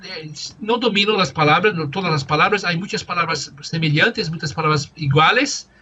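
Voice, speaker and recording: A man whose first language is Portuguese, practicing conversation as a language student and pausing often to search for words. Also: on the high side, sharp tone, muffled recording, a little hiss or hum. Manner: halting, searching for words, many fillers.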